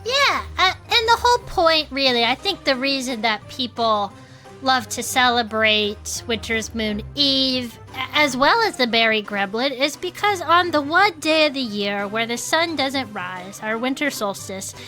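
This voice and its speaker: A woman's voice: high voice, animated, measured pace, bright, forceful tone, faint music behind, exaggerated voice. A woman playing a cheerful small-town radio co-host in an audio drama, chatting in an upbeat, agreeable way. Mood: fond, earnest. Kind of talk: improvised in-character audio drama chat show.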